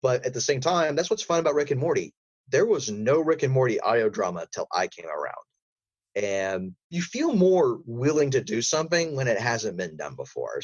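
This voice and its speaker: Animated low-voiced man in a roomy space. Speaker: voice actor, podcast guest. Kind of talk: casual interview chat with advice. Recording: roomy, slightly dull audio.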